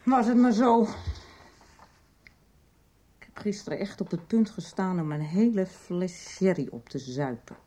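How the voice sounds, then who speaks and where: Troubled middle-aged mother, lowish mellow voice, halting wry confessions, radio soap. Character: troubled mother, recovering alcoholic, wry and fearful.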